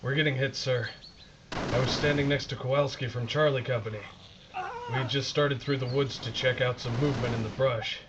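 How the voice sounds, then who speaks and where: A man's voice: medium pitch, steady tone, normal speed, close to an everyday voice. Male actor as American WWII sergeant, audio drama, plain-spoken, steadfast but rattled, dull lo-fi sound, noisy. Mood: shaken. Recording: muffled lo-fi audio with noise.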